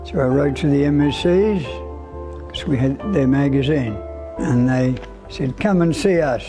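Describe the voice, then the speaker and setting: A man's voice: mid-range voice, a little slow. A man in his nineties, a priest, reminiscing and telling the story of his own life. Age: 90s. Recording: music bed behind the voice.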